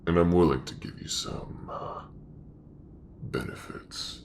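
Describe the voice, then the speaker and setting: A man playing a calm, shrewd, commanding ship captain in a sci-fi audio roleplay, with a very gravelly voice and measured, businesslike delivery. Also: deep voice, a little hiss or hum.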